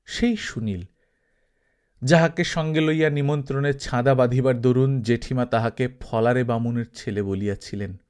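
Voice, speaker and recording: A man's voice: mid-range voice, steady tone, moderate pace, a little mellow, smooth. Man narrating a literary story. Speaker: audiobook narrator. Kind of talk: literary story narration in formal prose, voicing dialogue.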